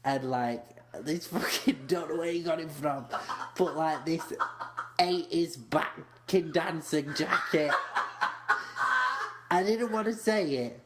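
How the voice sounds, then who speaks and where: A young man co-hosting a comedy podcast, sweary and deadpan, teasing his friend. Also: on the high side, takes it slowly, heavy background noise. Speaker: podcast co-host. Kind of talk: casual comedy podcast chat.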